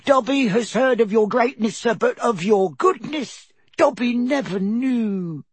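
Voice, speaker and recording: A man voicing a small, servile male house elf who talks in a squeaky, anxious way. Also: expressive, measured pace, husky, no room sound, full character voice. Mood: pleading. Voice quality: squeaky, high-pitched. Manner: servile, calls himself by name, ends lines with "sir". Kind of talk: audiobook character voice.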